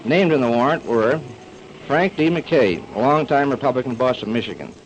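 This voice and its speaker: A man's voice: mid-range voice, expressive, normal speed. A man with an American accent, a newspaper correspondent, reading a dispatch in a formal, emphatic way. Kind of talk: reading a news dispatch on radio. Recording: faintly noisy 1940s radio recording.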